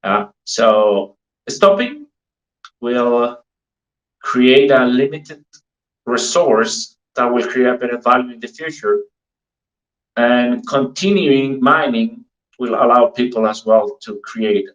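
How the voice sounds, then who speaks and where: A man giving an informal opinion in a community discussion, halting and pausing between phrases. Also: medium pitch, a bit bright. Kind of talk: informal opinion, weighing pros and cons. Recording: roomy echo, dull lo-fi audio.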